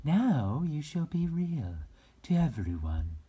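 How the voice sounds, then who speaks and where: A storybook reader voicing a kindly, gentle nursery magic fairy in a high, breathy voice with slow, drawn-out, emphatic words. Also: sing-song, exaggerated voice. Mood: tender, reassuring.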